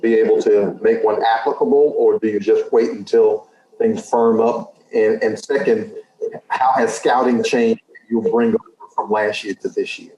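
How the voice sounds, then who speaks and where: A man's voice: fairly low pitch, fairly even intonation, moderate pace, dark tone. A man working as a sports reporter, asking a question on a press conference call with dull, echoey audio.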